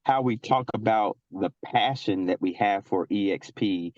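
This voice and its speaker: A man's voice: fairly low pitch, measured pace, no echo. A man on a team video call telling a story, thoughtful and winding, with plenty of fillers. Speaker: real estate agent on a team call. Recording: dull, dry lo-fi audio.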